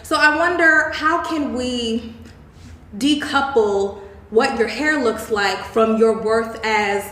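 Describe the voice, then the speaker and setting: A woman with a somewhat higher voice guides a candid group conversation, musing aloud and putting open questions to the others. The room adds a clear echo to her voice. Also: slow, pushed and bright. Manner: thoughtful, poses open questions. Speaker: discussion moderator. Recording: roomy echo.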